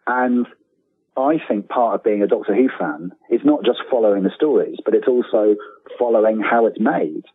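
Male interview guest, lowish husky voice, eager and halting storyteller, heard over a phone-like connection. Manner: hesitant, repeats phrase starts, enthusiastic. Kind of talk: casual interview, anecdotes. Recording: thin phone-like line with room echo.

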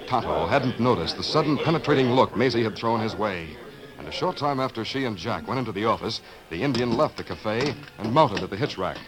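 A man's voice: lowish voice, expressive, brisk, crowd noise, natural voice, poor audio. A man as the dramatic storyteller of a 1950s radio western, reading scripted narration. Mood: measured. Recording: old radio recording with prominent music.

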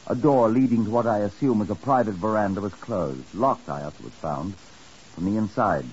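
An actor playing a weary, wry chief inspector, narrating a 1950s radio drama with dry, measured understatement. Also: male voice, on the low side, darkish tone, lightly acted. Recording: muffled, hissy old radio broadcast.

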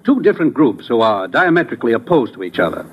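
An American actor playing a businesslike government commissioner in a 1950s radio drama, grave and dryly authoritative. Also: male voice, mid-range voice, big pitch swings, quick pace, breathy edge. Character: stern, businesslike male government commissioner briefing his agent. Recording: dull old radio recording.

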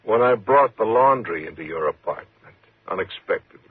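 An actor playing a coolly taunting, sinister night elevator operator in a 1950s radio thriller, laconic and ominous. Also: male voice, lowish voice, animated, normal speed, slight background noise, close to an everyday voice. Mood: taunting, menacing. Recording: muffled, tinny 1950s radio recording.

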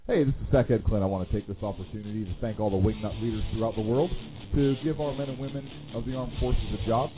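A man's voice: fairly low pitch, fast. A man hosting a radio show, reading a sarcastic thank-you spot. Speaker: radio host. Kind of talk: promo read. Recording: tinny audio over guitar music.

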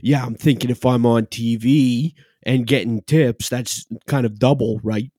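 A man's voice: mid-range voice, steady tone, unhurried, dark tone, no echo, clean studio sound, close to an everyday voice. A man playing a brash, boastful would-be TV bartender. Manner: boastful, rambling, mock-modest. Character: brash, self-important male bartender chasing reality-TV fame. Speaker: guest. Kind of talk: improvised comedy character interview.

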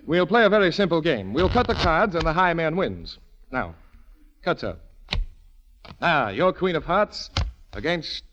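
Casting: courtly, unctuous con man for vintage radio play, highish voice, shamelessly genial, lo-fi sound. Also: sing-song, unhurried, long pauses, fairly bright tone. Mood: smooth, coaxing. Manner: florid, unctuous, mock-courtly politeness. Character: genial, silver-tongued, shameless old-time male card swindler. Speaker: radio actor. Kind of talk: 1940s radio comedy-drama acting. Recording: dull lo-fi vintage radio recording.

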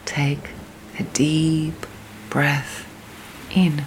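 A woman leads a guided sleep meditation in a soft, breathy, near-whispered voice, soothing, with long pauses between phrases. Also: deep voice, poor audio. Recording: steady storm and rain noise. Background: rain and storm.

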